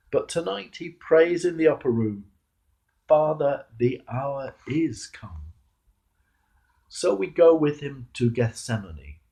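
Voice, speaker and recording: A man, a clergyman, reading a devotional address in a measured, solemn manner with dramatic emphasis. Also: medium pitch, creaky, dark tone. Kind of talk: read devotional sermon address.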